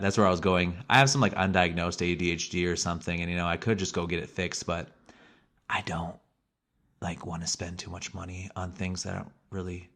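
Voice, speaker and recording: Rambling young Missouri man, lowish voice. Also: a bit bright. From Missouri, USA. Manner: rambling, self-deprecating, restarts and asides. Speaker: podcast host. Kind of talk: solo podcast monologue.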